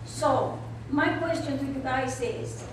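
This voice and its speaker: A woman's voice: sits high, steady tone, slow. An older woman from Cuba with a Cuban accent, earnestly asking a heartfelt question from the audience at a public forum. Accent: Cuban Spanish. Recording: echoey hall, distant mic, audible noise.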